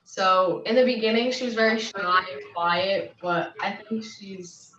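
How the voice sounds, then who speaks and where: A woman's voice: medium pitch, measured pace, a bit bright. Serbian-native mother in an echoey room. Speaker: parent panelist. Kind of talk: casual panel chat. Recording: echoey room, far from mic, dull.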